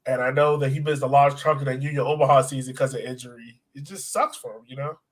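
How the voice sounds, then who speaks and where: A man's voice: medium pitch, fast talker. A man hosting a sports podcast in casual chat, with a colloquial, buddy-to-buddy way of talking.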